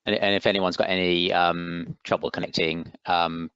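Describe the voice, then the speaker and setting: A man's voice: sits low, level tone, normal speed, fairly bright tone, clear, resonant tone, no room sound. A man hosting an online webinar, speaking politely and formally with some hesitation as he gives announcements. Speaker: webinar host. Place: online video call. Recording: dull, dry online call audio.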